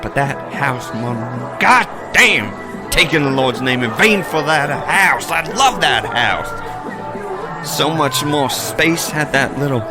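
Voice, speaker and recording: A man's voice: mid-range voice, lively intonation, takes it slowly. A man playing a drunk, ranting priest. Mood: bitter ranting. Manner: slurring, rambling, bursts into shouting. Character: drunk disgraced male priest, bitter and rambling. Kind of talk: audio drama acting. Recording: music and crowd noise behind.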